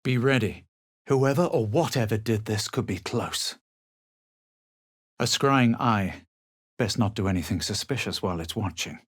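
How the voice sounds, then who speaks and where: An actor voicing a self-assured, haughty adventurer and spellcaster in a fantasy video game, with a husky voice and measured, theatrical delivery. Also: male voice, on the low side, dry recording, clean studio sound. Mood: wary, brooding. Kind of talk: fantasy video game voice lines, combat barks and narrated recollections.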